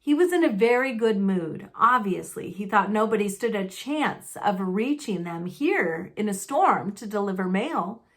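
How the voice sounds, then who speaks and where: A woman narrating a children's novel aloud, expressively, with deliberate and dramatic phrasing. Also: medium pitch, very animated. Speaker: storybook narrator.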